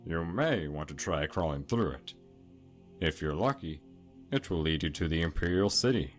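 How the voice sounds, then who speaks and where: Very low-voiced, grim local guide. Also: male voice, expressive, moderate pace, soft background music. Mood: grimly helpful. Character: world-weary local man giving grim warnings to a traveler. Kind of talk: video game dialogue.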